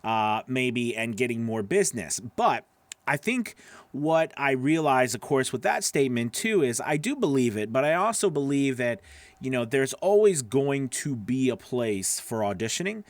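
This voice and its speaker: A man's voice: mid-range voice, very animated, moderate pace, dry recording. Middle-aged man, casual coaching talk, close dry mic. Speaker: voice-over coach and video host. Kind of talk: casual instructional talk to camera. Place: small home studio with acoustic foam, indoors. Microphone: broadcast microphone on a boom arm, close.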